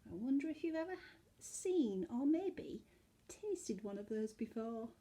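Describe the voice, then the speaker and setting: Very animated, high-voiced woman storyteller. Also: unhurried, slightly rough. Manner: expressive, dropping into hushed asides. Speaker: teacher reading a storybook to young children. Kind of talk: animated children's storytelling with chatty asides to listeners.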